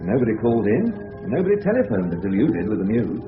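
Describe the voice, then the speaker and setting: A man's voice: lowish voice, big pitch swings, fast. An actor with an English accent playing a bluff, sarcastic, aggrieved middle-aged father in a 1970s British radio drama. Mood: sarcastic, defensive. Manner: bluff, sarcastic, old-fashioned slang. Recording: phone-like old radio broadcast, music behind.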